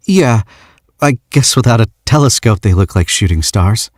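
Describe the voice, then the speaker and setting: A man's voice: lowish voice, animated, moderate pace, no echo, studio quality, pitched above the performer's own voice. A man voicing a nervous, shy young man who stammers and restarts his sentences.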